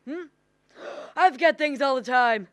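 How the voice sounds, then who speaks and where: A woman's voice: high-pitched, unhurried, no echo. A young woman acting a forgetful, flustered old uncle in a radio drama, stammering and exclaiming. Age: young adult. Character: forgetful, flustered old Uncle Billy, male bank partner.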